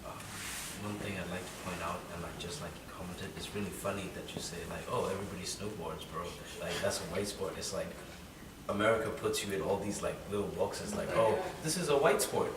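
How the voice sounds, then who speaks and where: Young male panelist, joking and slangy, lower voice, echoey distant recording. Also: fairly quick. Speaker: student panelist. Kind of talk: casual group discussion. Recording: echoey room, mic far off, loud noise.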